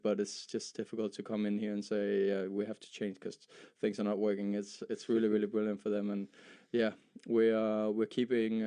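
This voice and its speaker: Low, smooth-voiced young Danish man. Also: mellow. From Denmark. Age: young adult. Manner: halting, many fillers and repeated words. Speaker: footballer. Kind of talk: post-match style interview.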